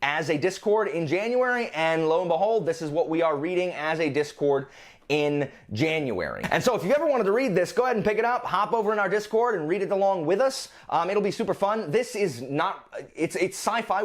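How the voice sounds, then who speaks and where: Guy vlogger w/ a higher voice, super animated, chatty and informal, playfully exasperated. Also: a little fast. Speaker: book vlogger, channel host. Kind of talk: casual chatty monologue about a reading list.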